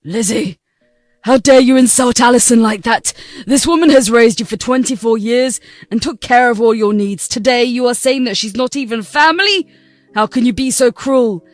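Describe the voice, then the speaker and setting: A woman's voice: mid-range voice, lively intonation, a little fast, no echo, soft background music, pitched below the performer's own voice, close to an everyday voice. A woman voicing a middle-aged father who scolds his daughter sternly in defense of his wife. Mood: furious.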